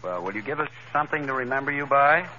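A man on a 1930s radio comedy show trades brash tough-guy banter. His voice sits at a middle pitch and sounds very bright. The old broadcast is dull and faintly hissy. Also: animated, fast talker. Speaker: comedy host.

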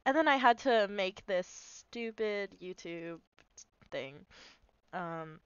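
A woman's voice: medium pitch, lively intonation, slow, clear, resonant tone, dry recording, dull audio. A young woman sounding nasal from a cold, casually rambling through a walkthrough of her animation with lots of fillers. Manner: rambling, filler-heavy, self-deprecating. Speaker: animator explaining her video. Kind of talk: casual screen-recorded walkthrough.

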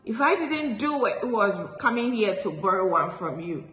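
A woman voicing a rude, boastful male tiger who mocks and scolds in a shrill voice. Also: sing-song, normal speed, fairly bright tone, reverberant, in a large hall. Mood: taunting.

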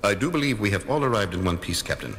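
A man's voice: fairly low pitch, animated, quick pace, a bit bright. An actor playing a coolly logical male alien science officer, formal and precise, in a 1970s audio drama. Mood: calm, logical. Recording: reverberant sound with music behind.

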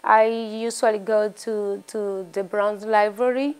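Woman, 40s, halting answers, lots of restarts, indoor interview. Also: mid-range voice, fairly even intonation, darkish tone, clear, resonant tone. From Dominican Republic. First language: Spanish. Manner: halting, with restarts and repeated words.

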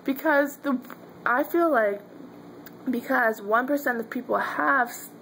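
Slow-talking young woman who stutters. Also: sits high, fairly bright tone, a little hiss or hum, low-quality recording. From Pennsylvania, US. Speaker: vlogger. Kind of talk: casual video diary. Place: dim bedroom, indoors. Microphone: webcam or phone held close.

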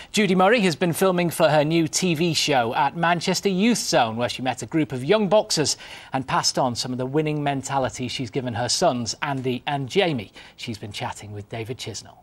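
A man reading the news formally as an anchor in a studio. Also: a little high.

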